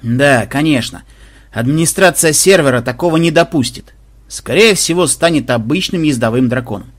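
A man voicing a gruff, weary male team leader with a curt, grumbling, commanding manner. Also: fairly high pitch, lively intonation, normal speed. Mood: resigned grumbling.

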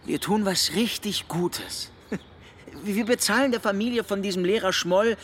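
A man's voice: high-pitched, unhurried, fairly bright tone. An actor playing a cocky, chummy young man, a teasing and slangy dreamer with theatrical flair, in a radio drama. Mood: coaxing. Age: young adult. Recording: noisy ambience behind the voice.

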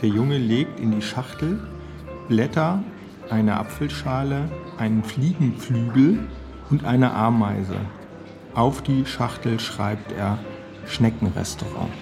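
A man narrating as a measured storyteller, in storybook style. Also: mid-range voice. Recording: plucked guitar music behind voice.

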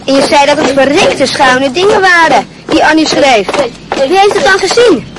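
A woman's voice: high-pitched, animated, moderate pace. A woman acting a timid, hesitant teenage schoolboy in a radio play, meek and questioning. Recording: clear background noise.

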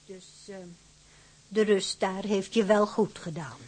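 Quiet worried older woman, radio drama, middling pitch, bit breathy. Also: unhurried. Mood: subdued, hesitant. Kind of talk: Dutch radio drama acting.

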